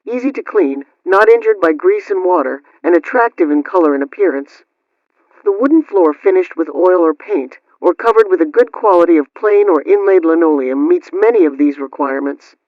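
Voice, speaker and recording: A woman's voice: lowish voice, no echo. Muffled old radio, woman playing a prim 1920s household advice hostess, formal and instructional, dry close sound. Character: Aunt Sammy, prim 1920s radio homemaking host. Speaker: host. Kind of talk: scripted 1920s radio household question-and-answer reading. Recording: dull, band-limited old-radio sound.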